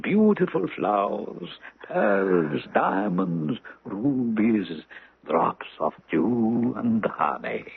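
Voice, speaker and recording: A domineering, hypnotic maestro with a husky, rough voice that swings wildly from low to high. He is grandiose and volatile in the theatrical manner of 1970s radio drama. The old broadcast sounds muffled. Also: exaggerated voice. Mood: flattering. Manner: theatrical, speaks of himself in third person. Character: domineering, mesmerizing male music master, grandiose and volatile.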